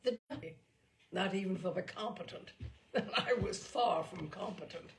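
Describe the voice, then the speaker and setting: A woman reading a literary story aloud as an expressive storyteller. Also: mid-range voice, a little slow, gravelly.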